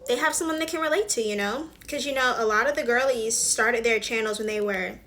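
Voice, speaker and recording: Young woman, mid-twenties, a touch high-voiced, chatty get-ready-with-me vlogger full of fillers and asides. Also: a little fast, bright, forceful tone. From Alabama. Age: 20s. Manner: chatty, filler-heavy, drops to whispered asides. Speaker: beauty vlogger. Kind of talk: casual get-ready-with-me chat.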